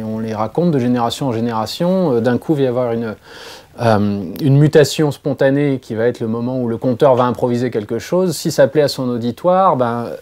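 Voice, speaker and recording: Mellow-voiced man, halting in interview. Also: medium pitch. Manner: halting, repeats words while searching. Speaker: comics artist, interviewee. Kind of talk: spontaneous interview answer.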